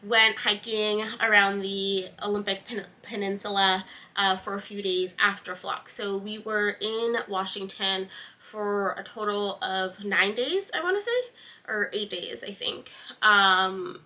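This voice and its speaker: A woman's voice: mid-range voice, measured pace, bright, forceful tone. A young woman with a congested voice, hosting a knitting podcast as a rambling, unscripted sit-down chat full of ums. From East Coast of the United States. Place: home, seated indoors.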